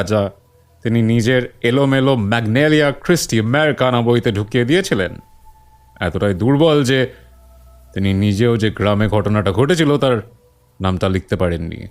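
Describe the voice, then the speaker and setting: A man's voice: medium pitch, close to an everyday voice. A man playing a skeptical, down-to-earth friend in an audio horror drama, scoffing and matter-of-fact. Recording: clear outdoor ambience noise behind.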